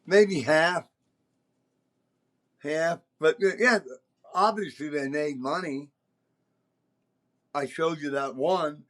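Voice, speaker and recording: An older man in his seventies with a slightly high-pitched voice tells stories in a casual podcast chat. He rambles from detail to detail, leans hard on his points and swears freely. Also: slow, long pauses, a bit bright. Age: older, around 70s. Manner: rambling, salty-tongued, emphatic. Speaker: podcast host telling stories as a former concert promoter. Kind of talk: casual anecdotal storytelling.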